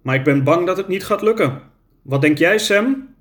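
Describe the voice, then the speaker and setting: A man's voice: sits high, animated, normal speed, a bit bright, pitched above the performer's own voice. A man reading a storybook and voicing an elderly son worried about his mother. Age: older.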